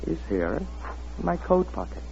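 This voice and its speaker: A man's voice: on the high side, sing-song, moderate pace, creaky, warm tone, slight background noise. A man acting a sly, menacing foreign schemer in an old radio thriller, with a sardonic, mock-polite manner. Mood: smug, menacing. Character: sly, teasing foreign man held at gunpoint, menacing when armed. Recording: muffled vintage radio recording with music.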